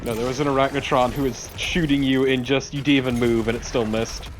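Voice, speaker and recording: A man's voice: mid-range voice, steady tone, brisk, slight background noise. A man co-commentating on a video game in casual banter. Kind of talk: casual banter over gameplay.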